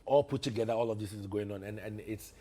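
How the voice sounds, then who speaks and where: A man's voice: fairly low pitch, quick pace, dark tone. A man with a Ghanaian accent speaking casually as a studio guest, pleading and repeating phrases for emphasis. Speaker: studio guest promoting theatre plays. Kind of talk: casual interview talk.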